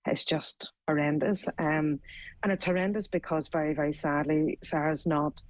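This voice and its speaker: Northern irish lady, really low voice, dry close sound, slow and somber. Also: fairly even intonation, no room sound. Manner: earnest, somber, hesitant with fillers. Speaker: social worker guest.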